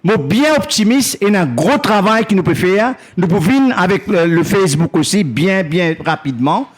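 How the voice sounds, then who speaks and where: A man's voice: high-pitched, expressive, normal speed. A man earnestly announcing the launch of a community project, speaking in plain, simple phrases. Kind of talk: spontaneous announcement in creole-tinged French.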